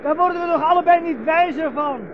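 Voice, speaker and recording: Shrill, overwrought man declaring menacing love. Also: a little fast. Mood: desperate, fervent. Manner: declamatory, intense, emphatic. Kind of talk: 1970s radio drama acting. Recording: dull, noisy 1970s radio recording.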